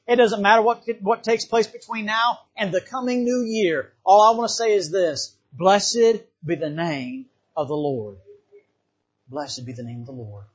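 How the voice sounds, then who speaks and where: A fervent man from the Bahamas preaches a revival sermon in church, his voice high and a little husky. He repeats himself and calls out amen often, and the recording sounds slightly dull.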